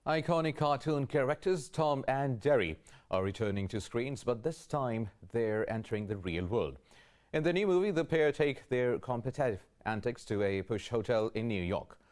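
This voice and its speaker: A man anchoring a news bulletin, reading headlines in a steady, formal way. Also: mid-range voice, moderate pace.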